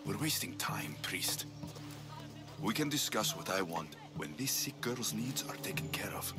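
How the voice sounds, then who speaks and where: Deep, expressive mercenary hero. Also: male voice, a little slow, music in the background. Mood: determined. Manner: direct, pressing, questioning. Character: bold, blunt male Greek mercenary hero, searching. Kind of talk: video game drama acting.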